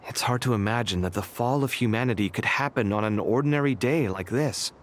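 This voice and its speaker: A man's voice: mid-range voice, a little fast, close to an everyday voice. An actor playing a proud, argumentative young male scholar in a video game, speaking with theatrical emphasis. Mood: pensive, uneasy.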